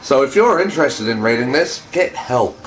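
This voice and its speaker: An animated co-reader whose pitch jumps around a lot. The delivery is casual, comedic banter, sweary and quick to interject. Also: a little hiss or hum, poor audio.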